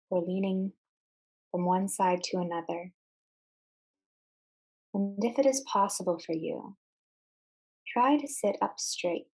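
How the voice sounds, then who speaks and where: A woman leading a guided meditation, giving measured instructions in short phrases with deliberate pauses. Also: mid-range voice, darkish tone, low-quality recording.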